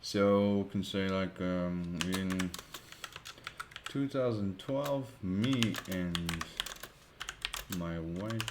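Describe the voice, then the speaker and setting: Deep-voiced Dutch man talking through a tutorial. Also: noisy background. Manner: thinks aloud, slow and hesitant with many fillers. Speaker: web design tutor. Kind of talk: screen-recorded tutorial narration.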